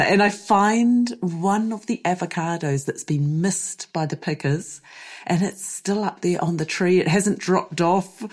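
Croaky New woman hosting a podcast. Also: fairly low pitch, darkish tone. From New Zealand. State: hoarse, losing her voice. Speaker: podcast host. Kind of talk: solo podcast chat.

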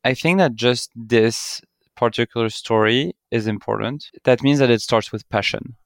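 A man's voice: mid-range voice, moderate pace, creaky, no echo, studio quality. A man in his late twenties explaining his family business conversationally as an interview guest. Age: late 20s.

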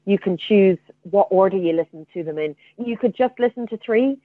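Woman interview guest, thin telephone sound, mid-pitched, conversational, dry. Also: normal speed, no echo. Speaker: interview guest, theatre artistic director. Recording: tinny narrow phone line, dry.

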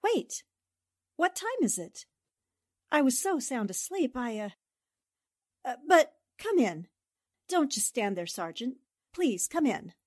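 A woman playing a bright, eager newspaper reporter. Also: sits high, sing-song, takes it slowly, long pauses, no room sound, clean studio sound, full character voice. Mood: flustered. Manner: polite, eager and inquisitive. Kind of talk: 1940s radio drama acting.

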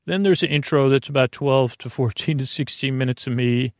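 Male sleep podcaster, gravelly fry, dry close sound, halting and goofy improvised rambling. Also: mid-range voice, dry recording. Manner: meandering, halting, trailing off and repeating words; silly, goofy tangents. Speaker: podcast host.

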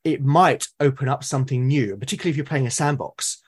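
A British man in casual, reflective conversation, explaining things in a halting, thoughtful way and often rephrasing himself. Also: medium pitch, husky. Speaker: podcast guest or co-host, tabletop game master. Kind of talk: casual reflective conversation about roleplaying games.

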